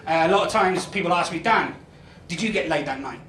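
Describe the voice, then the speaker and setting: A young man in his twenties with a West Country accent doing a self-deprecating stand-up comedy set with deadpan punchlines. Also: a little high, brisk, a little hiss or hum. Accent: West Country English. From Ireland, raised in Spain. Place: comedy club stage. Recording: echoey club with crowd laughter. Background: audience laughing.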